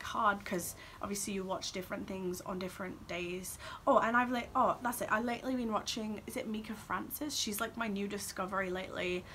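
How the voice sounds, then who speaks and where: A woman's voice: medium pitch, sharp tone, a little hiss or hum. A woman, chatting casually and ramblingly to camera as a vlogger, saying "like" often. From Wales and Australia. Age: 20s. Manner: rambling, informal, heavy use of "like".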